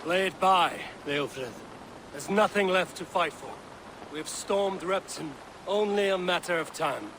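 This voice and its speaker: An actor playing a stoic, commanding Viking warrior leader in a video game, speaking gravely and firmly. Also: male voice, high-pitched, measured pace, noisy background. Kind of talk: dramatic video game acting. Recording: dramatic music behind the voice. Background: loud game music.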